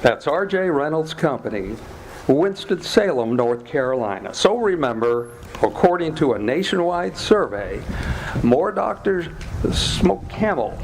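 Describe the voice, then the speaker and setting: Animated male old-time radio announcer. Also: a little high, measured pace, slight background noise, low-quality recording. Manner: polished salesman delivery. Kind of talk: 1940s radio commercial announcing. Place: live radio drama stage performance in a café.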